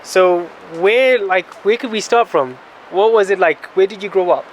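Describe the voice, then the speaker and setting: A man hosting a podcast, asking relaxed, casual questions. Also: sits high, moderate pace, a little hiss or hum, low-quality recording. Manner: relaxed, prompting with short questions. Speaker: podcast host. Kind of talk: casual interview.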